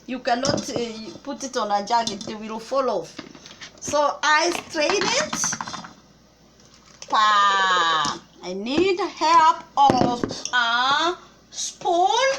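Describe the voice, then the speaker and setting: A high-voiced woman with a Kenyan English accent chats her way through a cooking tutorial, explaining each step and often restarting mid-thought. Her voice is recorded in a home kitchen with clear noise underneath. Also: expressive, extremely slow. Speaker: cooking vlogger.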